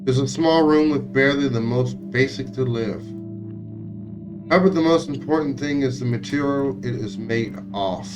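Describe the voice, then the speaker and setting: A man streaming a visual novel, reading its text aloud in a somewhat halting way with occasional stumbles. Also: mid-range voice, over music. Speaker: game streamer.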